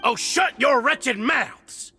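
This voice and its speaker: A man playing a sadistic, ranting gang boss in a video game, raspy and theatrical, swinging from menacing hisses to shouts. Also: very high-pitched, takes it slowly, soft background music, exaggerated voice. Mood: enraged. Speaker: villain voice actor. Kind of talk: dramatic video game villain acting.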